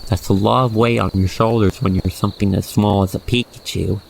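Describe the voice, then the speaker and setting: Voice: low voice, steady tone, fairly quick, vocal fry, rough recording. A narrator guiding the listener through a scripted ASMR roleplay in a soothing, playful storytelling manner. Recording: faint noise with chirping crickets. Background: night insect ambience.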